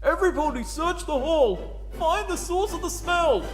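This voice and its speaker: A man's voice: very high-pitched, animated, normal speed. A man playing a pompous, fussy pony royal chamberlain with a formal, theatrical delivery in a dramatic reading. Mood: disgusted, urgent. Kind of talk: dramatic fan fiction reading. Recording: long hall reverb over music.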